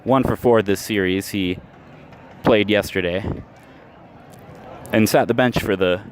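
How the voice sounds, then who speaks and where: Man calling baseball in a noisy ballpark. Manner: steady, measured call mixing pitch-by-pitch action with stats. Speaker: play-by-play announcer. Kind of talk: baseball radio play-by-play commentary. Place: outdoor ballpark during a live game. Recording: noisy outdoor ballpark ambience.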